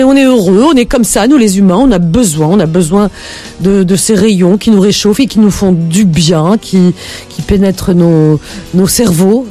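A woman's voice: mid-range voice, warm tone. A woman hosting a late-night call-in radio show, gushing and laughing often as she invites listeners to share their stories.

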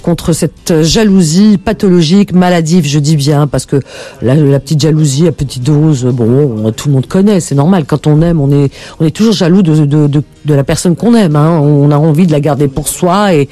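A woman's voice: deep voice, moderate pace, warm tone, faint music behind. A woman hosting a late-night call-in radio show, gushing and laughing often as she invites listeners to share their stories.

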